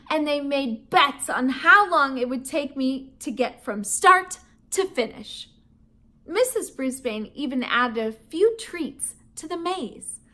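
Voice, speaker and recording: Woman, teacher, reading a children's book aloud, slow, bright, expressive. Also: sits high. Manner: expressive, animated storytelling with laughs and gasps.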